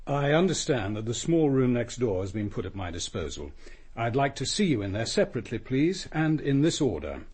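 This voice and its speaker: An actor playing a composed, formal English police detective who questions methodically and probingly in a radio drama. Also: male voice, medium pitch, darkish tone, slightly rough, dry recording. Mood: formal, brisk.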